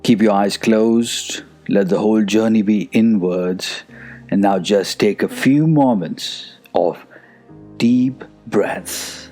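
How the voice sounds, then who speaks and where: A man leading a guided meditation in a soothing, unhurried manner that is hushed at times. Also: fairly low pitch. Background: soft music.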